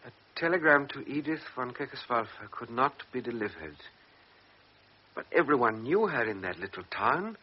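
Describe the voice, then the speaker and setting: A guilt-ridden young lieutenant. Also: male voice, mid-range voice, big pitch swings, moderate pace, breathy edge. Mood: urgent. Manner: urgent, then hushed and haunted. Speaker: actor. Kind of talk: 1950s BBC radio drama acting. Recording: dull old radio recording with hiss.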